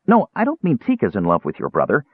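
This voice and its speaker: A man voicing an excitable, childlike little kender who rambles eagerly in a storybook reading. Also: mid-range voice, quick pace, husky, no echo, narrow, tinny audio, pitched above the performer's own voice. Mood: gossipy, excited. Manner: rambling, breathless, eager, digressive.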